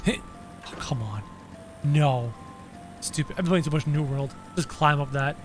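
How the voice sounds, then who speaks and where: A man's voice: on the high side, moderate pace, soft background music. A man livestreaming a video game with casual, joking, exclamatory commentary, thinking aloud as he chats with viewers. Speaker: live game streamer.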